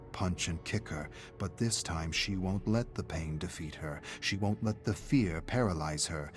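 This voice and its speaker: A middle-aged man narrates a dramatic story in a deep voice. His delivery is measured and theatrical, with faint music running underneath.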